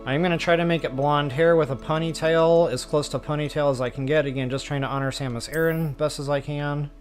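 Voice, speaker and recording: A man's voice: fairly high pitch, fairly even intonation, fairly quick, soft background music. A man hosting a game stream, chatting casually to viewers in an informal, self-deprecating, rambling way. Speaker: host of a video game walkthrough stream.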